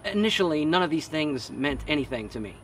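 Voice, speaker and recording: A man's voice: on the high side, fairly quick. A middle-aged American man telling a personal story casually and bluntly, with plenty of profanity and acted-out dialogue, recorded outdoors. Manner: animated, blunt, acts out dialogue. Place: outdoors in a garden. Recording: slightly distant outdoor mic, background noise.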